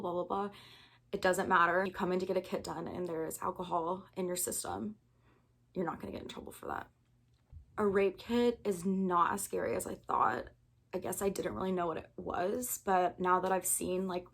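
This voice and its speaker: A woman in her early twenties with an American accent, talking candidly and earnestly in an informal, conversational way, as in a personal video. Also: medium pitch. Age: early 20s.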